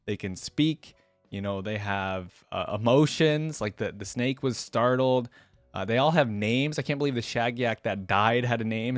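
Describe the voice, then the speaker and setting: Low-voiced man in casual reaction commentary. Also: dry recording. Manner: rambling, frequent "like" and "you know". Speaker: YouTube reaction host. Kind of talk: casual reaction commentary and read-aloud story.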